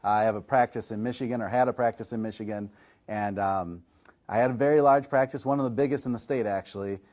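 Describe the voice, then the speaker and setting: A middle-aged man, a chiropractor, answering interview questions in a relaxed, self-deprecating, chatty way. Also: fairly low pitch, fast talker. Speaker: chiropractor and massage chair reviewer. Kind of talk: casual podcast interview answers.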